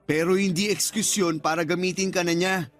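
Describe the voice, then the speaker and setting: A man's voice: sits high, steady tone, fairly quick, close to an everyday voice. A man with a Filipino accent playing a blunt, protective friend in a radio drama, talking frankly and in slangy, scolding advice. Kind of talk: radio drama acting in casual dialogue.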